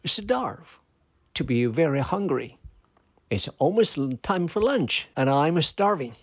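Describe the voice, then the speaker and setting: A narrator reading a children's fable and explaining English words in a deliberate, instructional manner. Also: mid-range voice, sing-song, slightly rough. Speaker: storyteller and English vocabulary teacher. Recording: dull, muffled lo-fi sound.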